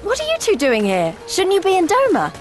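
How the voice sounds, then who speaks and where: A woman playing a spirited, friendly young adventurer in a video game, brisk and plain-spoken. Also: very high voice, wildly swooping pitch, soft background music, heavily acted. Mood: surprised.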